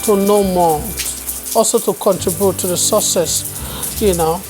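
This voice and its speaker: A woman's voice: medium pitch, unhurried, over music. A woman who works in immigrant support, talking unscripted in an interview. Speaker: immigrant settlement worker.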